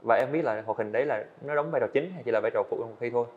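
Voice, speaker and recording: A man's voice: medium pitch, very fast. An eighteen-year-old man, telling his story candidly as a podcast guest in a studio. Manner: earnest, candid, hesitant restarts. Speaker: guest animator. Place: indoor studio set. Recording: dull lo-fi sound.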